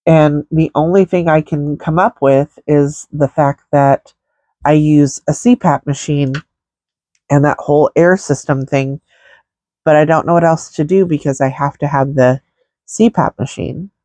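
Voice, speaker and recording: Slow, congested woman chatting about makeup. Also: deep voice, steady tone, dark tone, no echo. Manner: slow, rambling, many fillers. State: stuffy nose, congested. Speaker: makeup vlogger. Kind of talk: casual makeup tutorial chat.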